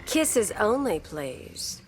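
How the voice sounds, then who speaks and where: A woman voicing a seductive, venomous villainess who rules plants in a video game, with sultry, taunting, drawn-out phrasing. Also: mid-range voice, sing-song, background noise. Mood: defiant, flirty. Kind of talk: video game character dialogue.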